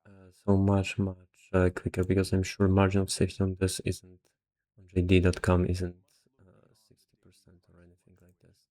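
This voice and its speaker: Low, monotone man thinking aloud. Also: moderate pace, long pauses. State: somewhat tired. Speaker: investor explaining stock valuation. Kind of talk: casual think-aloud screen walkthrough.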